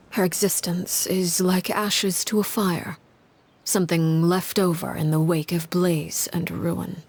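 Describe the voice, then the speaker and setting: An actress voicing a cold, composed woman who leads a shadowy house in a video game, narrating in a measured, solemn, formal way with a soft delivery. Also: lowish voice, slight background noise. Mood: cool, composed. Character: cold, composed woman crime-house leader telling her dark past. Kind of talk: dramatic scripted game storytelling.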